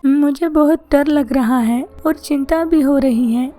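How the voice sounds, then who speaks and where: Worried cartoon mother, high and soft-toned, stammers when afraid, a woman voicing her. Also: unhurried, soft background music. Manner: anxious, stammering. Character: worried mother of a missing boy.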